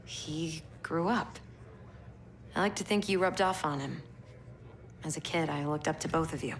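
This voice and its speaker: A woman's voice: sits low, moderate pace, a little hiss or hum. An actress playing a confident young female military commander, matter-of-fact and composed, in a video game cutscene. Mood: sincere.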